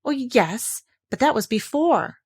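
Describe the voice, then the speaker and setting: A good-natured male toy bear of very little brain, done by a woman reading a storybook. He talks slowly and earnestly, with a lively, swinging melody. He sounds simple and a bit muddled. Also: on the high side, slightly rough, pitched above the performer's own voice, exaggerated voice.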